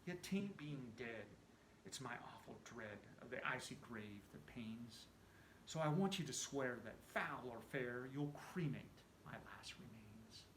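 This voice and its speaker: Man playing freezing prospector, slow moaning plea. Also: mid-range voice, animated, fairly bright tone, reverberant, a little hiss or hum, low-quality recording. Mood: moaning, pleading. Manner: drawn-out, moaning delivery. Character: ailing male gold prospector, freezing, pleading and weary. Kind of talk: dramatic poem recitation.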